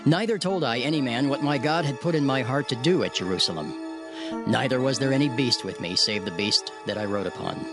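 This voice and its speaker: A man narrates scripture in an earnest, prayerful first-person voice at a middle pitch. A clear music underscore runs beneath his reading throughout. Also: a little fast. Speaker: narrator voicing Nehemiah.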